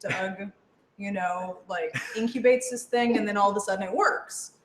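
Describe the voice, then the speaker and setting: A woman's voice: medium pitch, normal speed, a little hiss or hum. Dryly playful woman in an echoey room. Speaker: panelist. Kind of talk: casual joking chat. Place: indoor room with echo. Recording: roomy, echoing room sound.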